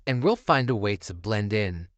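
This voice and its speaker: A man's voice: lowish voice, animated, normal speed, dry recording, lightly acted. A man voicing a heroic, earnest toy space ranger with a solemn, resolute, declamatory manner.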